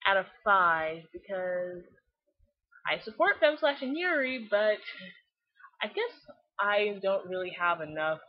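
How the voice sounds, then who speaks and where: A woman's voice: medium pitch, very animated, slow pace. A woman hosting a web series, reviewing casually and narrating a story. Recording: muffled lo-fi with music behind. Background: background music.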